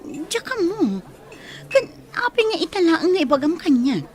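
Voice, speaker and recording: A woman's voice: very high voice, sing-song, normal speed. An actress in a Filipino radio drama playing a sincere young working woman. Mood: puzzled, embarrassed. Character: sincere young working woman, embarrassed about her boyfriend.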